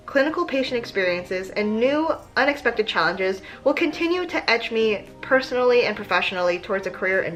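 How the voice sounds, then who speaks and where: Animated, high-voiced young woman vlogger. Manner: chatty, self-mocking, slangy. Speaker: vlog host giving application advice. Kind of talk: casual advice vlog with read-aloud passages.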